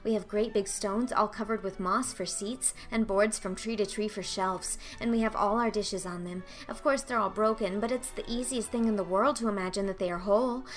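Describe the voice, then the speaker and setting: A woman's voice: mid-range voice, fairly even intonation, moderate pace, hardly any pauses, natural voice. Storybook role: dreamy, talkative orphan girl, played by a woman, gushing, breathless, theatrical, faint music underneath. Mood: dreamy chatter. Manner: breathless, gushing, dramatic, flowery wording. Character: excitable, dreamy, talkative eleven-year-old orphan girl. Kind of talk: audiobook character acting.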